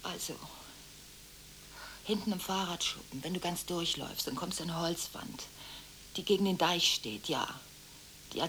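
A woman's voice: a little hiss or hum, poor audio. An actress playing a volatile, contradictory woman arguing through one side of frantic telephone calls in a radio drama. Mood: hushed, confiding. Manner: abrupt swings between hushed confiding and shrill scolding.

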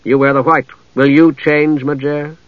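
A man voicing a proud, impatient knight with a curt, gruff manner in a fantasy audiobook. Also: a little high, flat delivery, unhurried, no room sound, narrow, tinny audio, close to an everyday voice. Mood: challenging.

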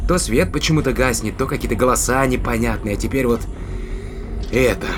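A man's voice: mid-range voice, a little fast, noisy background, lightly acted. A man playing an inquisitive spaceship captain in a science fiction audio drama, commanding and thinking aloud. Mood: pensive, suspicious.

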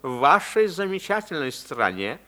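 An actor playing a courteous Japanese embassy secretary in a radio play, speaking with elaborate politeness. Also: male voice, fairly high pitch, big pitch swings, normal speed, pushed and bright.

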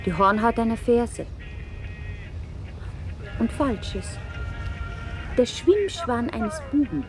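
An actress playing an observant woman who lists impressions in deliberate, clipped fragments as a poetic radio monologue. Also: a little high, sing-song, over music, heavily acted. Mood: contemplative.

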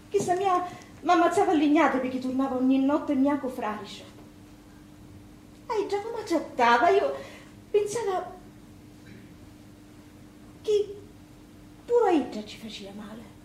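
A bitter, wounded Sicilian widow played by an actress pours out her grievances in a high, impassioned voice. Her Sicilian dialect moves between murmur and outcry on a theatre stage, in a roomy sound with faint noise. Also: a little mellow. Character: bitter battered Sicilian widow, youngest of three sisters.

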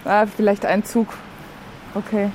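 A woman's voice: medium pitch, little pitch movement, unhurried, a little mellow, a little hiss or hum, poor audio. A woman chatting spontaneously and playfully with passers-by, coaxing them along. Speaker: reporter playing a wish-granting fairy in street encounters.

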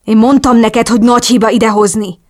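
A man's voice: extremely high pitch, flat delivery, pitched above the performer's own voice. A woman voicing a hot-tempered, hostile man in a storybook, accusing and threatening in angry outbursts. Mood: furious, accusing.

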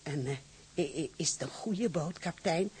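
A woman's voice: low voice, moderate pace, a little mellow, breathy edge. An actress playing a middle-aged widowed mother in a radio drama, garrulous, fussy and deferential, hesitating as she chatters. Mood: chattering, anxious. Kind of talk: Dutch radio drama acting.

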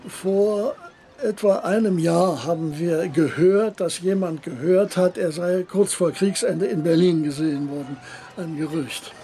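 Old blind businessman in a radio play, courteous, measured, quite high voice, bit of background noise. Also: mellow. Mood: grave, hopeful. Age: 60s. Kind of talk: radio drama acting.

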